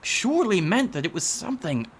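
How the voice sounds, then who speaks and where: A man's voice: high-pitched, very animated, fairly quick, a little hiss or hum. A man playing a haunted, intense writer of horror stories in a radio drama, speaking in an earnest, florid, articulate way. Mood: indignant, insistent. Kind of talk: theatrical radio drama acting.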